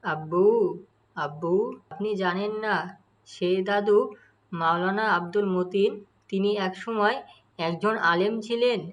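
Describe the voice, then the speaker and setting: A woman's voice: medium pitch, unhurried, long pauses, fairly bright tone, natural voice. A woman voicing a small, compassionate boy in a cartoon moral story, speaking earnestly in short, pleading phrases. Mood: earnest, sad. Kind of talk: dramatised moral story dialogue. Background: music.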